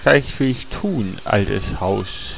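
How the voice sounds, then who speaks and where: A man's voice: on the low side, animated, takes it slowly, vocal fry, a little hiss or hum, full character voice, rough recording. A man playing a muddled old druid master. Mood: gruff. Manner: rambling, loses his thread. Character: muddled, gruff old male druid trainer.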